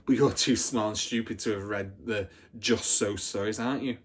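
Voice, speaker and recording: Storybook schoolyard bully, girl of ten male reader: rough-edged, boastful, scornful, dramatic. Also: lowish voice, steady tone, moderate pace. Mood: mocking. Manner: scornful, relishing grisly details.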